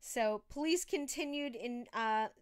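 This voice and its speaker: Female true crime host, unhurried, smooth clear voice, conversational. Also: a little high. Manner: informal, conversational, emphatic asides. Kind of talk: casual true crime storytelling.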